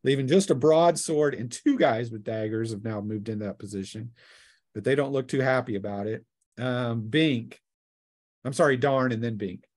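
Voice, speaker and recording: A man's voice: mid-range voice, normal speed, a little mellow, dry recording. Man running a tabletop game, dry close sound. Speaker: game master.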